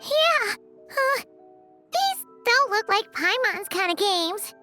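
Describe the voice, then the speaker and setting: A tiny, wide-eyed fairy companion from a video game, with an extremely high, squeaky childlike voice. She talks slowly in a sweet, cutesy sing-song that swings widely in pitch. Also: sing-song, pushed and bright, faint music behind, full character voice. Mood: puzzled. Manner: cutesy, speaks of herself by name. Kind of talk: English video game voice acting.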